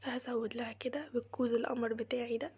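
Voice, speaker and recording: An Egyptian woman with a cold guides viewers through a casual home tutorial. Her voice is very breathy and sits a little high. She speaks in a soft, mostly whispered tone, with a narrow, even melody and friendly asides. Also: fast talker. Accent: Egyptian Arabic. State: has a cold, voice changed. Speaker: crochet maker demonstrating cleaning.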